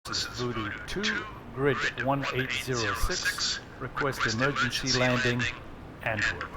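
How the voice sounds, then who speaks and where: A man with a mid-pitched voice presents a flight sim tutorial. He speaks in set phrases like a pilot on the radio. A loud engine drone runs behind him throughout. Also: lively intonation, measured pace, heavy background noise.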